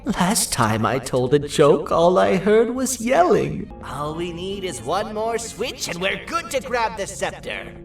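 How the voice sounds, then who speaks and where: Slow, swooping goofy jester creature. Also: mid-range voice, very animated, vocal fry. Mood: jokey. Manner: theatrical, drawn-out punchlines. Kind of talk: video game character dialogue. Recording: roomy echo, distant, under loud music.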